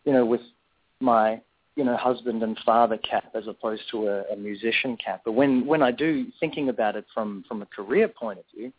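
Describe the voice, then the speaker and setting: A man's voice: medium pitch, moderate pace, husky, no echo. A man raised in Australia, a band musician answering interview questions in a rambling, casual way with many restarts. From born in South Africa, raised in Perth, Australia. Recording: tinny phone-line sound, dry.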